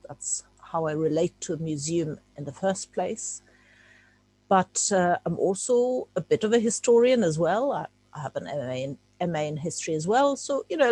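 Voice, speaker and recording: A woman's voice: lowish voice, dark tone, low-quality recording. A woman from Czechoslovakia, a native Czech speaker, giving an informal talk over a video call, hesitant and full of fillers and restarts. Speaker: guest speaker.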